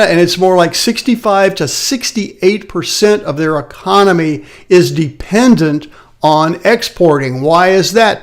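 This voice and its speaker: A man hosting a live market update from his office, tired and a little raspy, talking earnestly and plainly. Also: a little high. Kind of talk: casual live market commentary.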